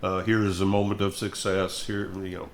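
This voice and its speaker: Older man with a low, thoughtful voice. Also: flat delivery, normal speed. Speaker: music minister and host. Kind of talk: reflective conversational talk.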